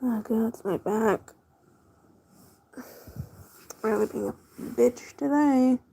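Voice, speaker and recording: A woman with a slightly high, mellow voice talks casually to a small stream. She takes her time, letting thoughts trail off in a laid-back way. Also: unhurried, gravelly, husky. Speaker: livestreamer. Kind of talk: casual livestream chat while drawing.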